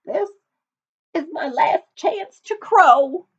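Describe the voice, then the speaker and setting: A woman voicing a ragged, noisy old rooster in a storybook, speaking loudly. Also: high-pitched, animated, takes it slowly, dull audio, pitched above the performer's own voice, full character voice. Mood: defiant. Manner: loud, crowing.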